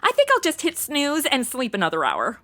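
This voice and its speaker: A woman's voice: high voice, wildly swooping pitch, moderate pace, pushed and bright, heavily acted. A woman acting a flighty, self-absorbed young American ex-cheerleader in a comedy audio drama, with slangy, melodramatic delivery. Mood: uneasy, flippant.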